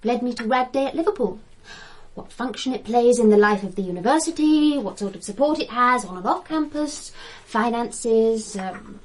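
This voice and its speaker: Higher-voiced, composed woman academic. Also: expressive, normal speed, rough recording. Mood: composed, curious. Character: cool, educated female academic researcher. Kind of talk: radio drama acting.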